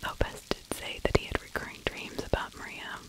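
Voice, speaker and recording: A middle-aged woman whispering breathily and close to the mic, telling a story in a conversational, opinionated ASMR style. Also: a little fast. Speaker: true crime ASMR host, narrator. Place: small indoor room. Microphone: close microphone with furry windscreen.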